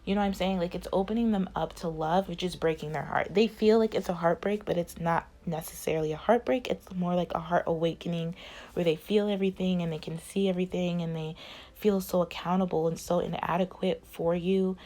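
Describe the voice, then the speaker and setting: A woman's voice: lowish voice, steady tone, brisk, warm tone. A woman giving a casual tarot reading, rambling with many fillers while losing her voice. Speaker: tarot reader.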